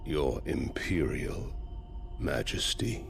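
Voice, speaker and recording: An actor playing an imposing, vengeful lord in a video game, haughty and menacing, with a gravelly, deliberate delivery. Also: male voice, extremely low pitch, very animated, exaggerated voice. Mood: menacing, calculating. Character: imposing, scornful, vengeful male lord and military advisor. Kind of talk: dramatic video game voice acting. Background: orchestral game music.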